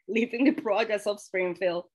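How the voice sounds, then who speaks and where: A young woman speaks openly and personally as a workshop presenter. Her voice sits at an ordinary middle pitch, and she talks in a conversational way, restarting phrases and correcting herself as she goes. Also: steady tone, moderate pace, a little mellow, slightly rough. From Dominican Republic. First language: Spanish. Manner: frank and personal, with self-corrections and light laughs. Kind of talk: candid conversational workshop talk.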